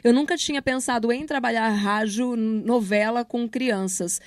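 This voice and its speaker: A Brazilian woman speaks in a fairly high voice with very little room sound. She explains her work casually, with informal slang, as an interviewed teacher. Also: unhurried, dry recording. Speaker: radio drama project leader and voice teacher, interviewed guest.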